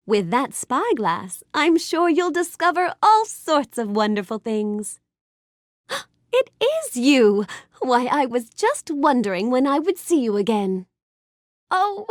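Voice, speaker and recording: Casting: a bookish young storybook heroine in a game; high, swooping, gracious, earnest, dry studio sound. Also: female voice, no room sound, exaggerated voice. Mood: excited, delighted. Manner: gracious, earnest, wonder-filled, precisely polite delivery. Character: sweet, polite, bookish young fairy-tale heroine, curious and dreamy. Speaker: video game character voice actress. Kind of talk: animated game character lines addressed to the player.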